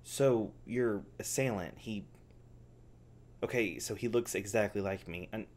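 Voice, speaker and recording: A man playing an anxious, defiant scientist held captive in an ASMR audio drama, halting and sweary. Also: lowish voice, darkish tone, lightly acted. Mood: uneasy, explaining.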